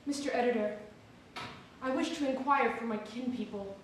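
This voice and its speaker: A woman's voice: on the high side, normal speed, close to an everyday voice. A woman giving a dramatic reading as a formerly enslaved woman searching for her family. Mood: solemn, longing. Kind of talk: dramatic reading of a historical newspaper ad. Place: theatre or hall, recorded from a distance. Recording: echoey hall from far off, faint noise.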